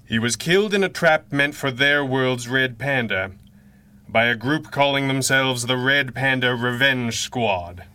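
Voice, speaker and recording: A man's voice: mid-range voice, a little slow, sharp tone, smooth. A man playing a guilt-ridden masked crime fighter in a 1930s-style radio adventure, speaking with formal, earnest, dramatic diction. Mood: grave, reluctant.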